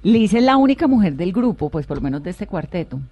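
A woman's voice: lowish voice, sing-song, fast, dark tone, no room sound, dull audio. A woman hosting a conversational interview on the radio. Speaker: radio host.

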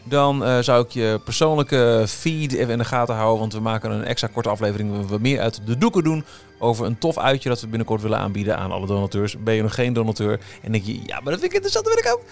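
A man's voice: fairly low pitch, very animated, quick pace, few pauses. A man hosting a podcast in casual, enthusiastic, informal chat. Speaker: podcast host. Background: background music.

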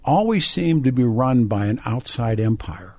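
A man's voice: medium pitch, lively intonation, moderate pace, a little mellow, dry recording. American man hosting talk radio, dry studio sound. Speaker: radio talk show host. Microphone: close studio microphone.

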